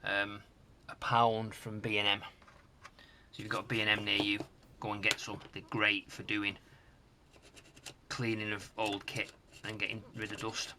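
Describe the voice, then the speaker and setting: A middle-aged British man giving casual hands-on repair commentary, musing aloud in a halting, hesitant way. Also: lowish voice, level tone, sharp tone, slight background noise. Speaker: host of a retro tech repair channel. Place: home workshop loft.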